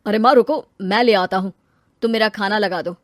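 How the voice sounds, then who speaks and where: A woman's voice: medium pitch, brisk, no echo, studio quality, close to an everyday voice. A woman voicing a young husband and new father in a cartoon story, easygoing and teasing. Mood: helpful. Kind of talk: cartoon story character acting.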